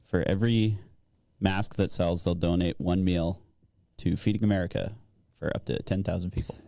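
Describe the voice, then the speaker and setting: A man's voice: low voice, fairly even intonation, moderate pace, vocal fry, mellow, dry recording, studio quality. A man co-hosting a podcast, chatting casually and reading out light news items. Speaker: podcast co-host.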